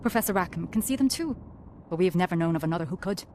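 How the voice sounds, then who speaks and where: Woman as a brisk, dignified headmistress. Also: lowish voice, animated, darkish tone, slight background noise, close to an everyday voice. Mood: grave. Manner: formal, composed. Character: dignified, authoritative headmistress of a wizard school. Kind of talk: scripted video game acting.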